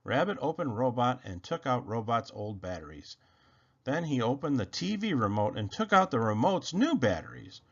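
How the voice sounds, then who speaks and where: A man's voice: mid-range voice, very animated, moderate pace, husky. A man reading a children's story aloud as narrator, expressively, on a slightly dull lo-fi recording.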